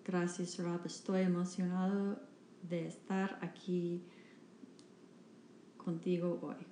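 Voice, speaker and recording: Very slow, halting woman in an echoey room. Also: on the low side, little pitch movement, mellow. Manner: halting, hesitant delivery with restarts. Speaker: podcast host and interpreter. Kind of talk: reading a Spanish interpretation of a church talk. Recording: echoey room with faint background noise.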